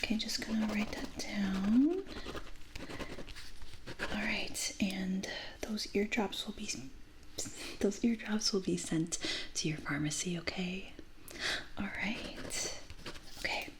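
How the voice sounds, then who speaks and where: A woman whispering breathily in a gentle, reassuring way, doing an ASMR medical roleplay as an audiologist. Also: medium pitch, takes it slowly, pauses often, noisy background. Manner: gentle, reassuring, soft-spoken.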